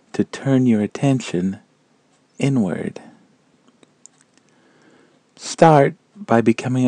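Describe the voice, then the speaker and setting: A man guiding a meditation in a calm, measured and gentle manner, leaving long pauses between phrases. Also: mid-range voice, no echo. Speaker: meditation guide. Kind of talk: guided meditation narration.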